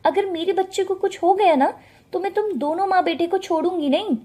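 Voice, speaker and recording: Casting: greedy, sharp-tongued young wife for a cartoon moral tale, high-pitched and nagging woman performer. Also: a little fast. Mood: irritated, threatening. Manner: nagging, taunting, bossy. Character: shrill, scornful, greedy young pregnant daughter-in-law.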